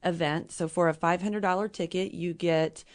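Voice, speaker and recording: Midwestern woman guest, hoarse, slightly low voice, earnest explainer. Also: steady tone, normal speed. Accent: Midwestern American. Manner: earnest, explains with everyday examples. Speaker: company president, guest. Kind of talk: casual interview talk.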